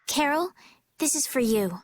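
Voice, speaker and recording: A woman's voice: sits high, lively intonation, unhurried. Polite, earnest young noblewoman. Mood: polite, resolved. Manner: formal, courteous, earnest. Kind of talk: dramatic video game dialogue.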